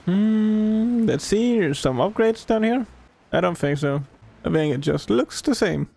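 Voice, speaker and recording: Creaky, mellow woman gamer. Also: mid-range voice, animated, measured pace. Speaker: gamer commenting on own playthrough. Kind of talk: casual gameplay commentary.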